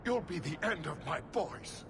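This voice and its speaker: An actor playing an indignant, pleading middle-aged dwarf merchant, a father, with a gravelly voice, in a video game. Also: on the high side, lively intonation, a little slow, noisy background. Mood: furious.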